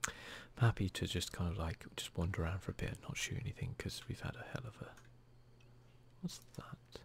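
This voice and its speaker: A man doing hushed, murmured ASMR commentary over a video game, talking to himself close to the microphone. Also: low voice, normal speed, vocal fry. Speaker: gaming video host.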